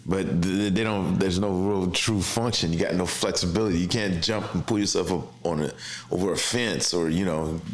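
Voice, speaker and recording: A man in his fifties, a guest in an interview, speaking in a relaxed, reflective way with many restarts. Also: deep voice, normal speed, hardly any pauses. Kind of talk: casual conversational interview.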